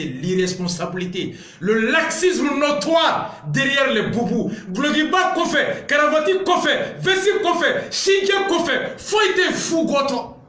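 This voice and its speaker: A man's voice: extremely high pitch, sharp tone, echoey room. A man with a Malian accent delivering an impassioned political monologue, emphatic and indignant, hammering points through repetition. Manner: emphatic, indignant, repetitive rhetorical build-ups. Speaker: political commentator. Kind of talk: impassioned political monologue mixed with reading aloud.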